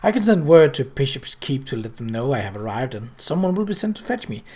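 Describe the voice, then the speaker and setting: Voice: medium pitch, very animated, a little fast, mellow, slightly rough. A courteous, independent young woman from a period storybook, as a reader acts her. She is earnest and self-effacing, with a slightly hasty delivery. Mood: hasty, polite.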